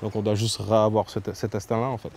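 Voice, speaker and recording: A man from French Guiana speaking casually as an interviewed graffiti artist, in a halting way with repeated words. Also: on the low side, level tone, creaky, heavy background noise. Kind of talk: casual spoken interview about own work. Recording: loud music under the voice.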